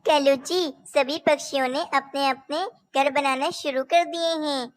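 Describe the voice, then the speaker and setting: A woman's voice: sits high, steady tone, measured pace. A woman voicing young female cartoon birds, caring and a little bossy, in a childlike, declamatory way. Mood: questioning. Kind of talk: Hindi animated children's story dialogue.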